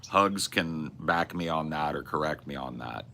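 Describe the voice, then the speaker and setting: Streamer host, low and punchy, profane rambling chat. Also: male voice, lively intonation, a little slow, vocal fry, sharp tone. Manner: rambling, profane, restarts and self-corrections. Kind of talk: casual livestream chat reading viewer messages.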